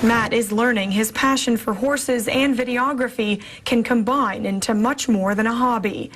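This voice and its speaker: A female news reporter delivers scripted narration for a television package. Her voice sits a little higher than most women's. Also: normal speed, fairly bright tone.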